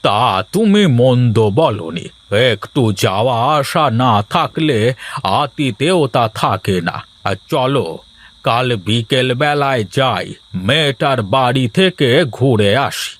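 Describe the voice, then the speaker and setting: A man's voice: medium pitch, lively intonation, takes it slowly, fairly bright tone. A man voicing an elderly, easygoing village husband in a cartoon, homely and agreeable. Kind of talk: Bengali cartoon voice acting.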